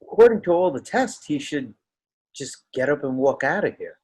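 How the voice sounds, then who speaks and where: A man's voice: fairly high pitch, big pitch swings, moderate pace, darkish tone, slightly rough. A man playing a baffled, grumbling starship doctor from sixties television, speaking emphatically at a script reading. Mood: baffled exasperation. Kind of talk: dramatic screenplay table read.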